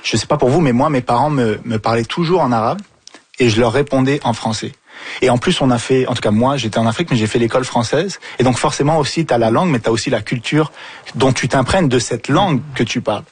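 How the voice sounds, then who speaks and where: Husky male radio host, casual chat. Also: medium pitch, fast, no room sound. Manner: relaxed, asks guests around the table, frequent "ouais".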